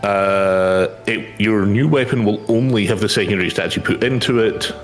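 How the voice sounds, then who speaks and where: A man's voice: lowish voice, moderate pace, faint music behind. A man chatting casually and reading game text aloud during a gaming session.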